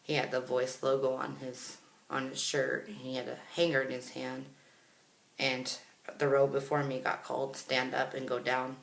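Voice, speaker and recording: A man's voice: mid-range voice, completely flat delivery, moderate pace, vocal fry, a bit bright. An American man telling a casual, rambling story for a vlog, chatty and often correcting himself. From Kansas, United States. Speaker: vlogger. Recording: roomy, echoey sound.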